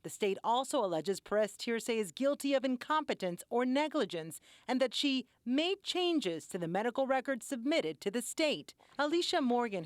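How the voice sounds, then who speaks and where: A woman works as an investigative TV reporter, narrating a scripted news package. Her voice sits a little on the high side. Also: no echo.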